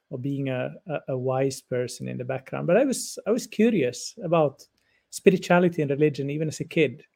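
A man around fifty from Finland, whose first language is Swedish, answers interview questions in a thoughtful, halting way with frequent restarts. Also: mid-range voice, vocal fry, a little mellow. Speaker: guest lecturer.

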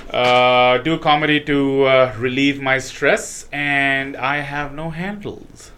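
A man guest on a comedy chat show, joking and telling animated stories while talking outdoors. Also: mid-range voice, slow. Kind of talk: casual chat.

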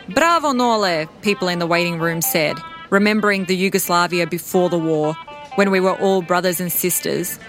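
A woman in her thirties, raised in Serbia, calmly telling her own story in a reflective, wry tone. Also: fairly low pitch. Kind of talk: scripted personal narration. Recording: music bed underneath.

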